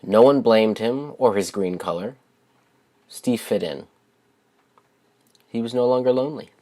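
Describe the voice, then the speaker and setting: A man reading a children's story aloud as its author, with simple, deliberate phrasing and expressive stresses. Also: mid-range voice.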